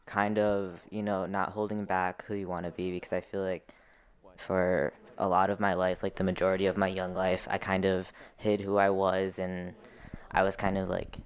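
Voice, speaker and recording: A man's voice: low voice, completely flat delivery, normal speed, clear, resonant tone. A young man reflecting casually on personal matters, hesitant and rambling, peppering the talk with filler.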